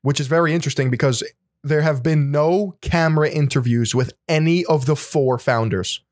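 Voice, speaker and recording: A man's voice: fairly high pitch, normal speed, no echo, studio quality. A man co-hosting a podcast in casual conversation, laid-back, explaining at length and often repeating words. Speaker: podcast co-host.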